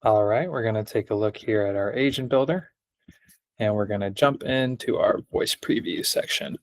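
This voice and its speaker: A man running a live software demo on a video call, speaking in short plain requests. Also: fairly low pitch, normal speed. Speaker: product demonstrator.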